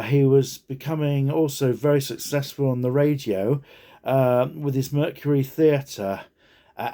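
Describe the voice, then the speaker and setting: A man talks informally and without a script in a relaxed, mellow voice. His delivery is very slow and hesitant, broken up by frequent ums and pauses, at a medium pitch. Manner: halting, hesitant, heavily punctuated with ums and pauses. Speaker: speaker giving personal reflections. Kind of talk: informal unscripted talk.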